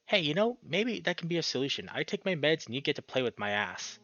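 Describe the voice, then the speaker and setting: A man's voice: fairly high pitch, big pitch swings, fast, pushed and bright, no echo, muffled recording, voice pushed up, full character voice. A man voicing a troubled, resentful young male animal character in a visual novel, brooding and sardonic. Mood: bitter, provoking.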